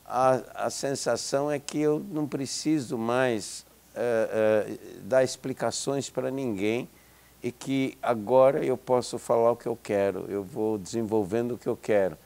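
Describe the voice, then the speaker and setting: A man's voice: mid-range voice, unhurried, vocal fry, a little mellow. Older man, hesitant and reflective. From Israel. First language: Hebrew. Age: 70s. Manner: hesitant, with many restarts and fillers. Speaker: interview guest, psychology professor. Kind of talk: reflective interview talk.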